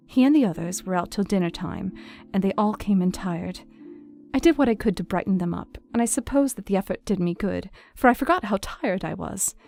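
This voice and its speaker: An actress playing a gentle, weary, anxious young Victorian wife, confiding a diary in an audio drama. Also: female voice, mid-range voice, gravelly, natural voice.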